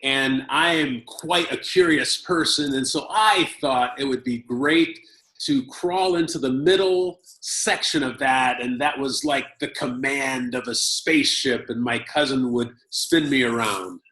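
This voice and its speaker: Slow American man telling a story. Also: mid-range voice, fairly bright tone. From Chicago, United States. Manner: slow, deliberate storytelling in short phrases paused for an interpreter. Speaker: conference speaker. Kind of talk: personal story in a talk. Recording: roomy echo.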